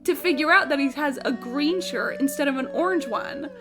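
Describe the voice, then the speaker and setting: A woman live-streaming a game, chatting casually in an expressive, chatty, sweary way. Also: high-pitched, normal speed. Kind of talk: casual livestream chat over gameplay. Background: faint game music.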